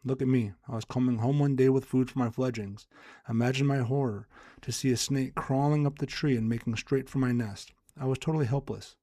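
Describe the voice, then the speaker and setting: A grieving, bitter storybook bird, voiced by a man reading aloud. It laments at a middling male pitch, in a dry, close recording. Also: steady tone, fairly quick, mellow, no room sound, lightly acted. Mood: bitter, anguished.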